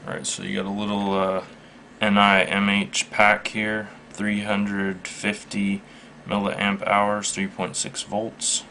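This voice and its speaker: A man with a gravelly, even-toned voice walks through a product casually and without a script. His delivery is slow, measured and halting, with a matter-of-fact manner. There is faint noise behind him. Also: lowish voice. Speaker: product reviewer. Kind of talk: casual unscripted product demonstration.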